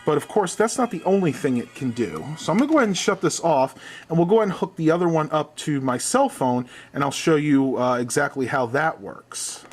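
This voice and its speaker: A man's voice: fairly high pitch, expressive, brisk. Man giving a casual gadget demonstration. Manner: methodical, step-by-step explaining. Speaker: product reviewer.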